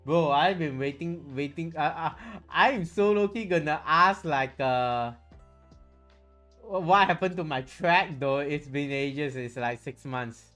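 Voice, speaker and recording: Music plays behind a streamer with a Malaysian accent and a medium-pitched voice. The talk is casual and rambling, with phrases repeated and restarted as the thoughts come. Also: takes it slowly, a bit bright. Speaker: livestreamer. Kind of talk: casual livestream chat. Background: background music.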